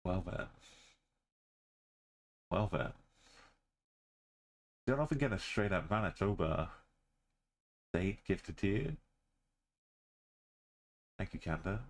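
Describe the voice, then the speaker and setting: A man's voice: low-pitched, expressive, slow pace, long pauses. A man streaming a game, thinking aloud in short muttered phrases. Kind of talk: commentary while playing a game.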